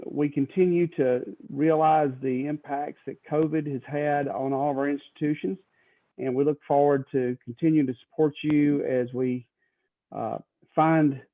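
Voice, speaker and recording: A man hosting a webinar gives upbeat welcoming remarks, pausing with "uh" as he hands the session to the panel. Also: medium pitch. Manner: upbeat, hands over with "uh" pauses. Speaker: webinar host. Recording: tinny phone-like line.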